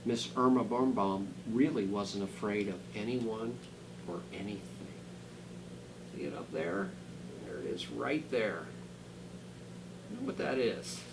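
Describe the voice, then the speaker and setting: A man reading a children's storybook aloud dramatically, dropping into quieter asides to his listeners. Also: lowish voice, a little slow, long pauses, noisy background. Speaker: school superintendent reading a picture book aloud.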